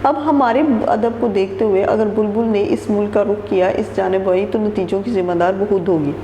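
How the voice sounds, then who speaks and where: Woman teacher, mellow voice, reads then re-explains each sentence, roomy echo, long reverb. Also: medium pitch, fairly quick, lo-fi sound. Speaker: Urdu teacher. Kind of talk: reading a textbook passage and explaining it line by line. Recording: echoey room with long reverb and faint noise.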